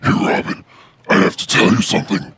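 A man voicing a cocky, crude, goofy armored superhero in a comedic game parody dub, gravelly and deadpan. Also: fairly quick, crowd noise, natural voice. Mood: curt, rude. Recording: noisy amateur dub with game ambience.

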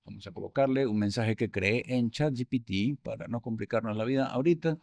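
A man in his forties explains a software tool to restaurant owners in an upbeat, chatty way, pausing now and then on small hesitations. His voice sits at a middle pitch. It is captured close on a studio boom mic with a dry, deadened sound. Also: gravelly, dry recording. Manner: upbeat, conversational, with filler hesitations. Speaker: host. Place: studio room. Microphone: studio mic on boom arm.

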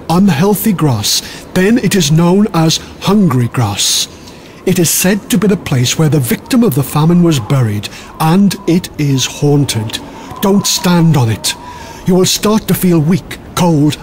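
A man narrates comic history with theatrical, wry delivery. His voice sits high for a man and rises and falls in a very lively way. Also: unhurried, slight background noise. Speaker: audiobook reader.

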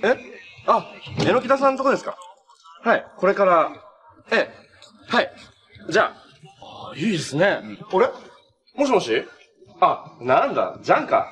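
An actor playing a cheerful, earnest, easygoing young hero in a TV drama, talking in a casual, boyish way. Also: male voice, sits high, sing-song, unhurried, long pauses, fairly bright tone, exaggerated voice. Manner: casual, friendly, boyish phrasing. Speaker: actor in a TV superhero series. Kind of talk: naturalistic TV drama acting.

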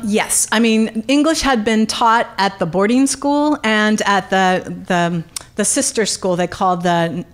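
Animated woman lecturing at a podium. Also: medium pitch. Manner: animated and gesturing, with frequent fillers and restarts. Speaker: guest lecturer. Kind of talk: public history lecture with audience questions. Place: conference room with audience. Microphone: podium microphone on stand.

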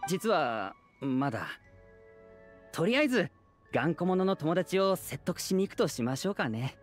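Mid-pitched humble townsfolk character, formal, deferential, earnest, very varied intonation, game dialogue over faint music. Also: very animated, unhurried. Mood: relieved. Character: polite, anxious, humble citizen of a fairytale town. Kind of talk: Japanese video game voice acting.

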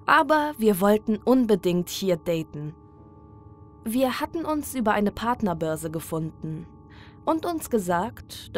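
A woman narrating a scripted horror story in a measured, dramatic delivery. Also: mid-range voice. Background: music.

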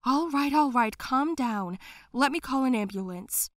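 A woman playing an alarmed wealthy father who speaks loud and urgent while taking charge. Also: high-pitched, animated, a little slow, dry recording, clean studio sound, pitched above the performer's own voice. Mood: soothing.

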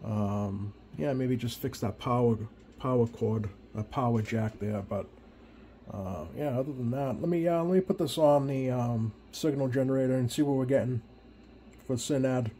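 A man giving a casual, unscripted hands-on demonstration to viewers, halting and thinking aloud as he works. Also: mid-range voice, mellow, a little hiss or hum. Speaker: radio repair hobbyist, video host. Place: indoor home electronics workbench.

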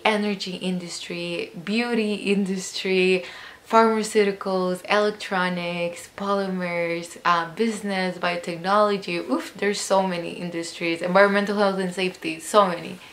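A young woman from El Salvador talks through her studies in an easy, rambling way, often leaning on "like" and "um." Her voice sits on the lower side for a woman. She is recorded at desk distance in a small bright room. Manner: chatty, many "like" and "um" fillers. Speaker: guest, chemical engineering PhD student. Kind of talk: casual interview answers.

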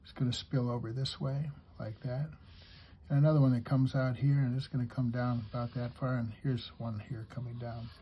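Male drawing teacher, husky voice, unhurried and halting as he explains each line, slightly muffled sound. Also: medium pitch. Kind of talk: step-by-step drawing lesson for kids, talking while drawing.

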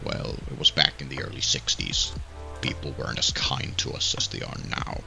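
A man acts out an old werewolf for a visual novel game. The voice is extremely low and heavily gravelly, with a calm, kindly, measured manner. Music plays clearly behind it. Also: exaggerated voice. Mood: calm, friendly. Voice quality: very gravelly, rumbling. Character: gruff, kindly old werewolf man, hospital admissions officer. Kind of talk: fan-dubbed visual novel acting.

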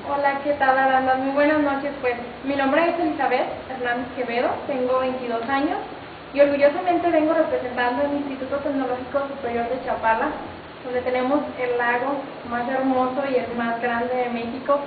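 A woman's voice: high-pitched, fairly even intonation, moderate pace. A young woman with a Mexican accent introducing herself as a pageant contestant. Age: young adult. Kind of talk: self-introduction. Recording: tinny, very echoey, noisy distant recording.

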